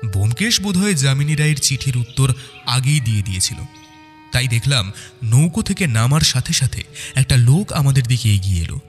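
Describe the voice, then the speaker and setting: A man's voice: medium pitch, very animated, fairly quick, big-room sound, soft background music. A man narrating a detective audio story in a dramatic, scripted first-person style.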